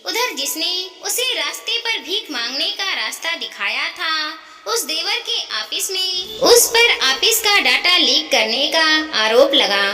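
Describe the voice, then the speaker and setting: A woman narrating a sentimental moral story in an expressive, earnest storytelling manner. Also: very high voice, measured pace, hardly any pauses, far from the mic. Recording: echoey, reverberant hall-like sound.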